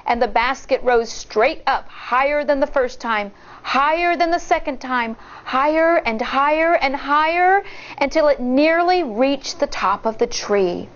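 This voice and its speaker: A woman reads a picture book aloud like a schoolteacher. Her voice sits on the high side and moves slowly, with expressive storytelling. The sound is a little dull. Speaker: teacher reading aloud to children. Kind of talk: storybook read-aloud with commentary.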